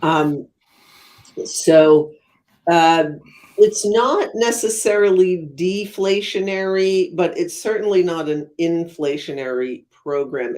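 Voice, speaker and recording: A woman's voice: fairly low pitch, darkish tone. Woman, expert interview guest. Conversational explainer, thoughtful stop-and-start delivery, emphatic on key terms. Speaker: guest academic, economist and author.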